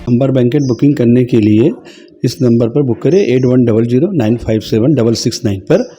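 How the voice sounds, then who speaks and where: A man's voice: medium pitch, a little fast. A man reading a short advertisement voice-over in a plain promotional manner. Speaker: ad announcer. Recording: ad read over loud music.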